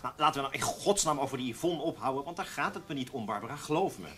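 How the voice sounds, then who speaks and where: Young vain newspaperman, self-assured, insistent, expressive pitch swings, radio drama. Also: quick pace. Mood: insistent, irritated. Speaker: actor.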